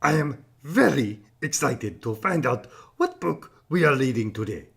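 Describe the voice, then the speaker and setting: A man with a raspy, creaky voice presenting a children's read-aloud show as its host. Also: medium pitch, expressive, a little slow, fairly bright tone. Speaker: show host introducing the narrator.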